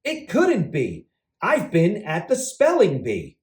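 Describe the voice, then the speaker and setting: A man's voice: sits high, wildly swooping pitch, takes it slowly, exaggerated voice. A man voicing an innocent, protesting female squirrel in a children's storybook. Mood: indignant denial. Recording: roomy echo.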